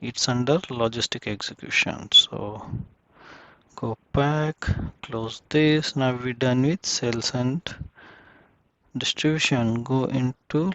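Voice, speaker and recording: A man giving a casual step-by-step software tutorial, speaking in short, halting phrases as he works through the screen. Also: mid-range voice, lo-fi sound, slight background noise.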